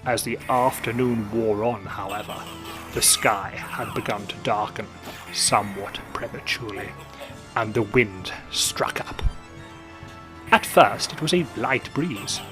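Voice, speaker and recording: Gentleman narrator, theatrical and measured, over steady music. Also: lowish voice, low-quality recording. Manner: theatrical, genteel, measured storytelling. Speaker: storyteller host. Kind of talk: scripted narration. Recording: music behind.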